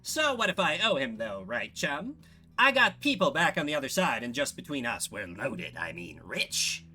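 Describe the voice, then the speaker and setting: A man voicing a shifty, fast-talking game scamp with cocky, evasive, wheedling patter. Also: medium pitch, big pitch swings, bright, forceful tone, husky, faint music behind, exaggerated voice. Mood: cocky reassurance. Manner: chummy, wheedling, salesman-like patter. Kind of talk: video game character voice.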